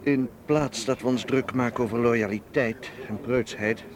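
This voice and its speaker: A man's voice: medium pitch, steady tone, measured pace, crowd noise, lightly acted. A man acting in a Dutch radio play as a seasoned, cautious and protective spy who explains things firmly. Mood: explaining. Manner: explanatory, firm.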